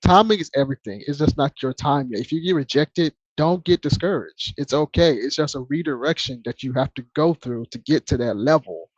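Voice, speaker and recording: Man, podcast guest, quiet and chill, dry close sound, a bit muffled. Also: expressive, fairly quick, hardly any pauses, dry recording. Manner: low-key, laid-back and soft-spoken. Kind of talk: casual chat.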